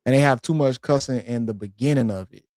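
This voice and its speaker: A man's voice: mid-range voice, fast talker, a little mellow, no room sound. Man chatting in a dry, close setting. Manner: rambling, restarts and fillers, agreeable "yeah". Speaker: co-host guest. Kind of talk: casual chat.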